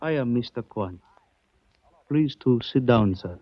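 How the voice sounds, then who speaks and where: An actor playing a suave male antique dealer who speaks in stilted, formal phrases, measured and evasive, in 1940s radio style. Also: mid-range voice, animated, dry recording. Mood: cryptic, courteous. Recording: dull dry old radio recording.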